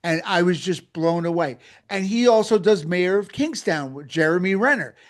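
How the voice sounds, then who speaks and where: Male co-host, gushing and emphatic, voice sits a little high. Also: lively intonation, moderate pace. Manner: enthusiastic, emphatic, repeats himself. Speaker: podcast co-host. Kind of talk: casual chat.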